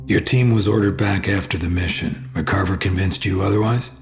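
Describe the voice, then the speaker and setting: An actor playing a cold government interrogator, clipped, probing and authoritative, in a video game cutscene. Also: male voice, low-pitched, moderate pace, vocal fry, over music. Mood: pressing. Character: cold, stern male government interrogator, skeptical and controlling. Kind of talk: dramatic game cutscene acting.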